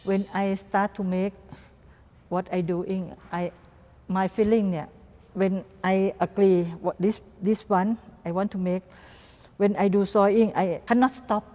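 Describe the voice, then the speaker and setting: Female guest artist, Thai accent, mellow voice, broken English in short repeated phrases, recorded with long room reverb. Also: mid-range voice, steady tone. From Thailand. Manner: halting phrases, non-native grammar, repeats words. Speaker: guest artist and doll maker. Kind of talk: interview answers in simple English.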